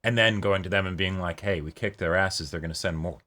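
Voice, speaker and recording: A man's voice: sits low, fairly quick, pushed and bright. A man co-hosting a casual fan discussion, agreeable and often chiming in to affirm what is said. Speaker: co-host of a TV show reaction channel.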